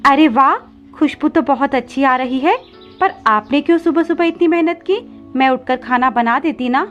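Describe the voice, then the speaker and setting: A woman plays a caring mother bird in a cartoon fable. The voice is high, polite and motherly. Music runs faintly beneath slightly dull audio. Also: normal speed, natural voice. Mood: pleased. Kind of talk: cartoon moral story voice acting.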